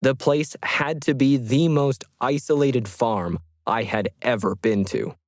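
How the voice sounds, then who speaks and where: A man voicing a solitary, methodical 1950s ham radio hobbyist, reading his journal in a measured, reflective way for an audio story. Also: mid-range voice, fairly even intonation, no room sound, clean studio sound, close to an everyday voice. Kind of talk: scripted first-person journal narration.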